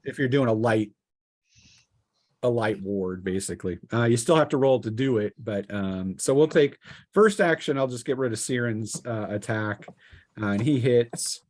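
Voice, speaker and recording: Man refereeing a tabletop game, halting delivery. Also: medium pitch, darkish tone. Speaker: game master.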